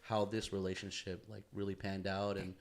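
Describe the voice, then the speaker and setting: A man's voice: low voice, completely flat delivery, normal speed, heavy background noise. A man hosting a podcast, chatting casually with friends in a rambling, slangy way with frequent swearing. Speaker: podcast host. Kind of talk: casual chat among friends.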